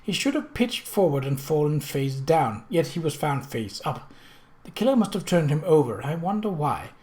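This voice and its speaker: Gentleman sleuth, voiced by a male narrator: slightly high-pitched, analytical, formal and self-assured, methodical audiobook delivery. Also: big pitch swings, moderate pace. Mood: pondering. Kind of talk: audiobook character dialogue.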